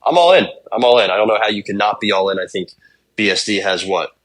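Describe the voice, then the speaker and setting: A young man with a somewhat low voice co-hosts a casual sports podcast. He rambles in a relaxed way, thinking out loud, and swears casually as he talks. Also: quick pace. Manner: laid-back, rambling, profane. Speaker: podcast co-host.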